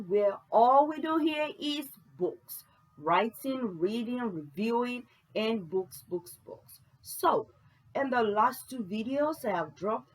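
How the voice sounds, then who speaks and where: A woman who writes books, talking casually to camera in an unhurried way and reading reviews aloud. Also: mid-range voice, slightly rough, rough recording. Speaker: author and book channel host.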